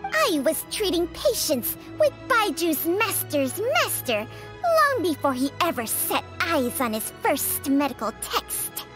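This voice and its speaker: A woman's voice: extremely high pitch, sing-song, slow pace, over music, heavily acted. A woman voicing a sassy, ancient female snake spirit in an anime-style video game, proud and chiding in a theatrical way. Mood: haughty.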